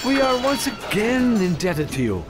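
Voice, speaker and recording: A humble monk from a medieval video game. His voice is high and lively in pitch, with a formal, reverent gratitude. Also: measured pace, over music. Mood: grateful, earnest. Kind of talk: video game cutscene acting.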